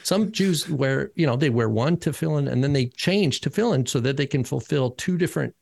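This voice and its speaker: Man, very gravelly voice, dry close sound, casual podcast chat, teacherly explaining. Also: mid-range voice, animated, fairly quick, darkish tone, no echo. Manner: lecturing, spells out letters and sounds.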